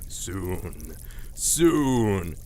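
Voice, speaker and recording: A man's voice: sits low, big pitch swings, extremely slow, very noisy, heavily acted. A man playing a pompous ten-year-old boy genius and aspiring evil scientist in an audio drama, speaking theatrically in elaborate words. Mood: gloating menace.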